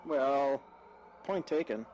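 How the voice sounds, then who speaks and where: A man's voice: medium pitch, takes it slowly, a little mellow, natural voice, poor audio. A man playing a nervous, sheepish lover caught out, hesitant and evasive, in an audio drama. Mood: sheepish, awkward. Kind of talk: radio-play style dramatic acting. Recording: faint background noise.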